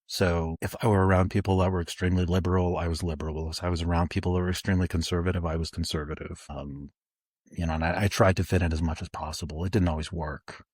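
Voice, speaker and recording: A man in his forties, co-hosting a podcast, talking reflectively and haltingly with many fillers and restarts. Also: sits low, level tone, darkish tone, breathy edge, dry recording, clean studio sound. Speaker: podcast co-host.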